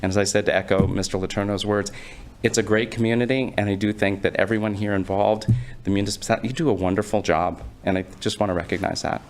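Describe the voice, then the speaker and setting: A man addressing a town council during public comment, earnest and a little halting. His voice sits low and stays flat, with little rise or fall. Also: a little hiss or hum. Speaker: resident speaking at public comment. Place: town council meeting room.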